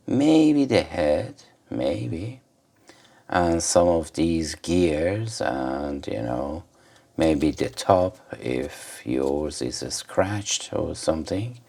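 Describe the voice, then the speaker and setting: Man, very low gravelly voice, halting short phrases, informal repair demonstration. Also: darkish tone. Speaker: host of an electronics repair video. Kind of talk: informal hands-on demonstration commentary.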